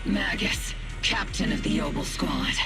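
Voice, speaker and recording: A woman's voice: lowish voice, unhurried, over music, close to an everyday voice. A woman voicing a battle-hardened, defiant squad captain in an anime game, brusque and commanding. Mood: gruff, defiant.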